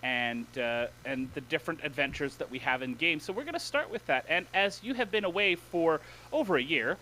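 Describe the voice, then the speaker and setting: Excitable high-voiced male podcast host. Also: brisk, a little hiss or hum, poor audio. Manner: excitable, laughs often, playful. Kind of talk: casual podcast chat.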